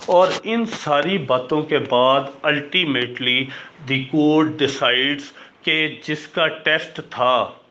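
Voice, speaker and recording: Dull lo-fi recording, man, fairly high voice, law lecturer, emphatic and didactic. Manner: deliberate, emphatic, didactic with rhetorical questions. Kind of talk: explanatory lecture mixing Urdu with English legal terms. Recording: dull lo-fi audio.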